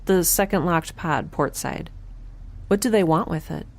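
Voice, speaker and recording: A woman's voice: on the low side, measured pace. A woman playing a wry, stubborn young scientist in space, with dry, hesitant conversational delivery in an audio drama. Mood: defensive, uneasy. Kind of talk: scripted audio drama, conversational monologue and dialogue.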